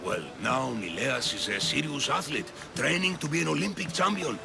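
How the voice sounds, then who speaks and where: A man's voice: on the high side, expressive, moderate pace, gravelly, music in the background. An actor playing a frank, disapproving man who gives out a task in a video game cutscene. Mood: frank, concerned.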